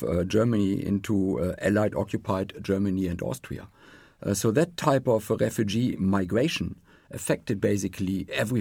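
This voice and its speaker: A deep, dark-toned man with a gravelly, husky edge speaks as an economics professor. He talks thoughtfully, pausing on fillers. The sound is dry and close. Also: no room sound. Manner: thoughtful, with frequent filler pauses. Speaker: economics professor, panel guest. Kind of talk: measured panel discussion.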